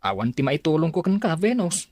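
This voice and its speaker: Lower-voiced, earnest Ilocano cousin. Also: female voice, sing-song, fairly quick, a little mellow, dry recording, clean studio sound. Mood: concerned, apologetic. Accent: Filipino, Ilocano. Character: concerned, apologetic cousin, pleading and gentle. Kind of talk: radio drama acting.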